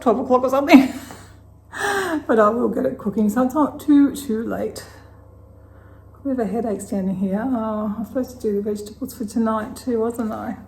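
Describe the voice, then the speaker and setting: A middle-aged woman with an Australian accent talks through her day in a rambling, easygoing way. Her voice sits fairly high and is a little croaky, and she breaks into soft laughs as she thinks aloud in her kitchen. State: slightly croaky voice. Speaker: vlogger. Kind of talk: casual day-in-the-life vlog narration. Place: home kitchen.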